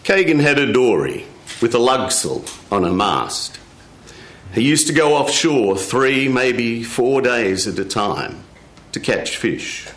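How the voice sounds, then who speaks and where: A man telling a folk tale live in a measured way, with dramatic pauses. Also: medium pitch, a bit bright, reverberant. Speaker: storyteller. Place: radio studio with live audience.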